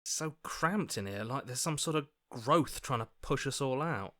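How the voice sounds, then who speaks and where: An earnest video game hero, with a mid-pitched voice that rises and falls freely. He sounds anxious and questioning, like a conscience that keeps pleading to be heard. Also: lively intonation, moderate pace, pushed and bright. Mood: wry, worried. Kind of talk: video game character voice acting.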